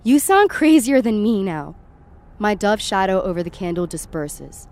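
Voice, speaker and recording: A woman's voice: mid-range voice, big pitch swings, normal speed, a little mellow, a little hiss or hum. A woman playing a frightened, sceptical, foul-mouthed young woman in a first-person audio drama. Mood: agitated, disbelieving. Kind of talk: first-person present-tense audio drama narration and dialogue.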